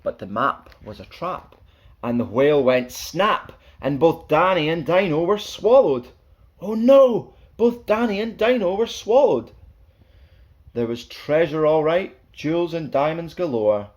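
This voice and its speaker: A man's voice: a little high, expressive, unhurried, dull audio. A man reading a rhyming children's picture book aloud as a storyteller, in a playful, theatrical, sing-song way.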